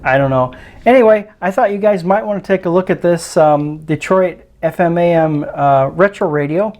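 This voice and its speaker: A man's voice: sits high, moderate pace, a little mellow, lo-fi sound. A man giving a casual, unscripted product review in a hesitant, tentative way, often trailing off.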